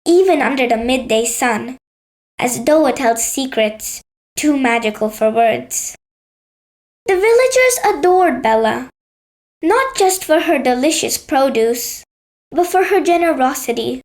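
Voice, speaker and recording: A woman's voice: high-pitched, animated, measured pace. A woman narrating a scripted bedtime story for children as a storyteller.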